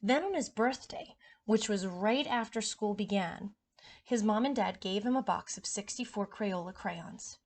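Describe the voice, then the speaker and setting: A woman with a mid-pitched voice reads a picture book aloud for young children. She tells it expressively, like a teacher, and talks to her listeners in a chatty, informal way. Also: unhurried.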